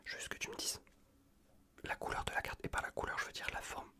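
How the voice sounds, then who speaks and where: A man performs an ASMR examination roleplay entirely in a breathy whisper. He gives casual, colloquial instructions and soothes with gently repeated words. The voice sits close to the microphone, airy and unvoiced. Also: a little fast. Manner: casual, colloquial, reassuring instructions with soft repetition. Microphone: close USB condenser microphone.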